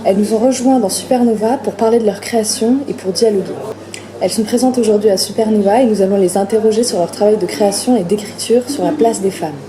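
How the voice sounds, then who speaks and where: A young woman hosts a vlog in a clear, upbeat voice and announces what comes next. Her pitch sits mid-range for a woman. A crowd chatters behind her. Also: quick pace. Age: young adult. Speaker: intern presenting the vlog.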